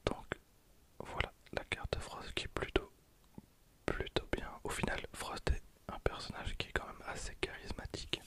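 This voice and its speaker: Whispering young Frenchman, soft ASMR delivery. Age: 20s. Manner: soft, unhurried, repeats phrases slowly. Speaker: ASMR video creator and host. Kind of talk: ASMR whispering, card pack opening commentary. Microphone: handheld recorder used as close ASMR microphone.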